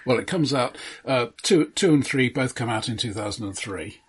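A man co-hosting a casual film discussion, giving his opinions in a deliberate, analytical way. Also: medium pitch, animated, breathy edge. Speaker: podcast co-host.

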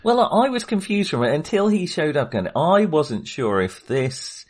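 A man's voice: on the high side, very animated, moderate pace. A middle-aged British man in casual film discussion as a podcast co-host, often hesitant and searching for words.

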